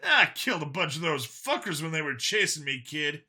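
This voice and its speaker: Man performing brash male hunter medic, high voice, very forceful and bright, folksy, combative, audiobook dialogue. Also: very animated, moderate pace, full character voice. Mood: brash defiant.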